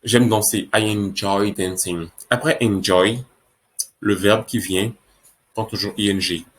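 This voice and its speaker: Slow, low-voiced male English teacher. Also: fairly even intonation. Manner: slow, repeats each phrase in French and English. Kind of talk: French-language English lesson.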